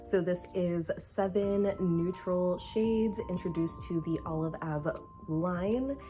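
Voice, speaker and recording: Young woman, stuffy nose, lowish voice, chatty and candid, faint music underneath. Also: warm tone. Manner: chatty, candid, hedging with fillers. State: nasally congested. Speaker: nail polish reviewer. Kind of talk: casual product review vlog.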